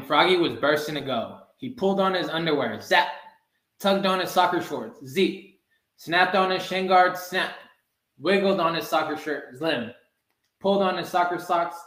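Young man, slightly high voice, unhurried children's storybook read-aloud, echoey room. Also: fairly bright tone. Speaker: soccer goalkeeper reading a children's book. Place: small room with blinds, indoors. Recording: roomy indoor webcam sound.

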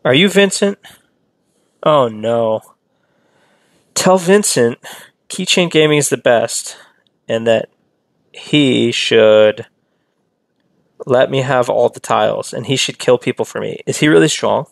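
Male game streamer, casual chatty play-by-play, gravelly edge, dry close sound, talks to chat. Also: mid-range voice, slow pace, long pauses, dry recording. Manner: chatty, reads and answers chat, rambling asides. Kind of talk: casual live-stream commentary.

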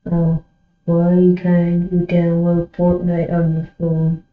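A woman gamer chatting casually in short, halting questions and replies, in a roomy, muffled recording. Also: on the low side, completely flat delivery. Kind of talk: casual game voice chat.

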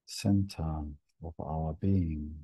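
A man leading a guided meditation as a teacher, speaking gently in short phrases with long pauses. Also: rumbling low, steady tone, breathy edge, no echo.

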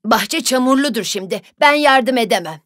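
A woman's voice: fairly high pitch, expressive, moderate pace, a bit bright, no echo, clean studio sound. A woman voicing a lazy, reluctant farm cow in a children's cartoon. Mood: unwilling. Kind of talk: children's cartoon fairy-tale acting.

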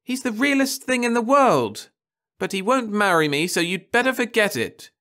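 A petulant, whiny nineteen-year-old girl, voiced by a man reading a story aloud. She talks high and shrill, wailing and sulking, with a pitch that leaps all over. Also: very animated, normal speed, pushed and bright, full character voice. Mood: sulky.

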